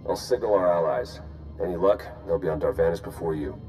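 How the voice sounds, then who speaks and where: Male covert agent actor: deep, relaxed, dry humour, confident game dialogue with faint music behind. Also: brisk, natural voice, poor audio. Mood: cool, wry. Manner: dry, casual. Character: wry male spy, cool and confident. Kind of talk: scripted game cutscene dialogue.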